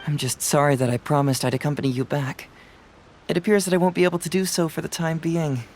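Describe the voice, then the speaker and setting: A man voicing a young, sharp-witted detective in a game, playful and self-assured with light laughs. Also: a little high, little pitch movement, fast, slight background noise, lightly acted. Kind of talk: game voice acting.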